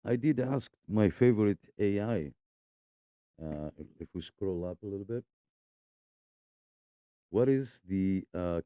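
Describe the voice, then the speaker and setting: A man's voice: sits low, mellow, smooth, no echo. A man hosting a radio hobby channel, chatting casually and rambling with lots of fillers. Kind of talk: casual show-and-tell.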